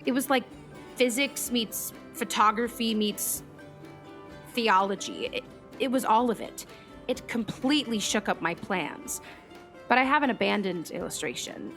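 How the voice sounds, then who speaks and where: A woman's voice: a little high, unhurried, fairly bright tone, faint music behind. A woman acting in a radio play as a thoughtful meteorologist, speaking in a natural, conversational storytelling way. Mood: wistful, open. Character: thoughtful female meteorologist and hobby comic illustrator.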